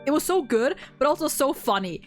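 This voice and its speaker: A woman's voice: high-pitched, lively intonation, a little fast, soft background music. A woman in casual gaming fan chat, co-hosting with effusive delivery and frequent swearing. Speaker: co-host.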